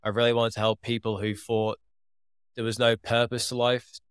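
A man's voice: medium pitch, level tone, moderate pace, smooth, no room sound, clean studio sound. A man in his twenties from England, with a Liverpool accent after years in Australia, earnestly telling his story as a podcast guest. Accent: Liverpool, living in Australia. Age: 20s. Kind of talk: casual interview talk.

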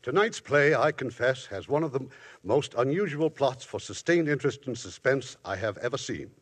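A man's voice: mid-range voice, normal speed. A man hosting an old radio theatre show, introducing the play and reading the sponsor's message in a polished, promotional manner. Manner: smooth, promotional, lively delivery. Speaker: producer and host. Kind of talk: formal 1950s radio introduction.

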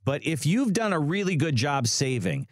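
A man in his sixties hosting a casual financial radio show, chatty and lively. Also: a little high, normal speed, no echo, studio quality. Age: 60s. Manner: lively, chatty, acts out his own thoughts. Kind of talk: casual radio talk and ad reads.

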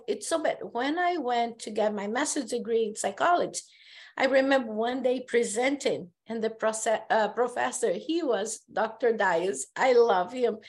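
A woman from Brazil with a Brazilian Portuguese accent, a therapist speaking as a podcast guest, telling her story earnestly with halting restarts. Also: sits high. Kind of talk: casual conversation.

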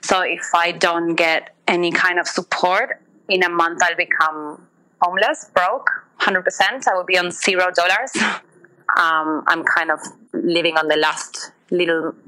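A woman's voice: lowish voice, steady tone, a little slow, pushed and bright, low-quality recording. A woman, telling her story candidly in a phone interview, with fillers and restarts. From Argentina. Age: 20s. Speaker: interviewee, migrant worker. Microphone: phone call.

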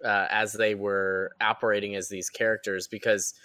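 A man talking casually on a panel as a podcast actor, earnest and somewhat halting in how he phrases things. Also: on the low side, flat delivery, sharp tone, no echo. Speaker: actor and player on an audio drama podcast.

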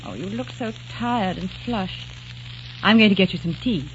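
Una actriz que interpreta a una joven prometida práctica y cariñosa, con voz suave, en un radioteatro de los años cuarenta. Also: female voice, mid-range voice, lively intonation, normal speed, dull audio, slight background noise, close to an everyday voice. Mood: soothing. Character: young fiancée, practical and gently coaxing. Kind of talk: 1940s radio drama acting.

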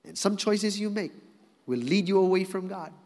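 Animated high-voiced male preacher in a hall. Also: moderate pace. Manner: animated, swings from loud emphasis to hushed. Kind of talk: sermon. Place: large hall with congregation. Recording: reverberant hall.

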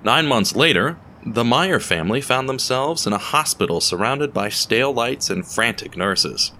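A man reading a scripted story as narrator, in a measured, dramatic way with deliberate pauses. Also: medium pitch, lively intonation, bright, forceful tone, slight background noise. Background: music.

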